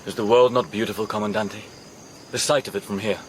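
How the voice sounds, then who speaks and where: An actor playing a wild, childlike young Spanish nobleman in a radio drama, dreamy and excitable with a cruel streak. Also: fairly low pitch, fairly quick, background noise, lightly acted.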